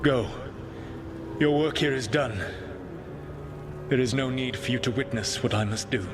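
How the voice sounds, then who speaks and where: An actor playing a stern, burdened elven god in a video game, speaking in a grave, formal, measured way. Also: male voice, medium pitch, music in the background, natural voice. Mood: sorrowful resolve. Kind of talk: dramatic video game acting.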